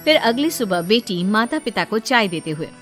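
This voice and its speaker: A woman's voice: mid-range voice, sing-song, moderate pace, a bit bright, soft background music. A woman storyteller giving scripted narration of a moral story.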